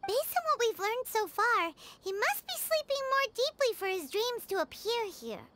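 A woman voicing a small, excitable, easily confused fairy companion in a video game, with a squeaky childlike voice. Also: moderate pace, noisy background. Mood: puzzled. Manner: exclaims, refers to self in third person. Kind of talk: animated game voice acting. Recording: loud background music.

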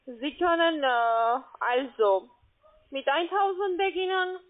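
A woman with a foreign accent, not a native speaker, giving a phone sales pitch as a call centre agent in halting, broken phrasing. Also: animated. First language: not German. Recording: muffled tinny phone line.

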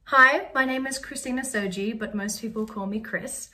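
A woman's voice: fairly high pitch, normal speed, pushed and bright. A woman in her twenties, a music student introducing herself cheerfully into a webcam. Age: 20s.

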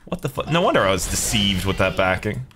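A man's voice: lowish voice, big pitch swings, fast, a bit bright, faint music behind. A young man giving casual, jokey gaming commentary, slangy and sweary, talking to himself and his viewers. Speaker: video game streamer.

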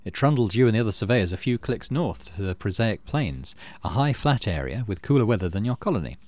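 A middle-aged man hosting a let's play, reading game text aloud and thinking out loud as he plays. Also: on the low side, lively intonation, a little fast, dry recording. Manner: thinks aloud while playing.

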